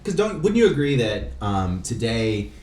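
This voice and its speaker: A man's voice: mid-range voice, very animated, moderate pace, darkish tone. A man hosting a casual podcast interview, talking in slangy, filler-heavy chat with the odd swear word. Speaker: podcast host. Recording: roomy echo, clear background noise.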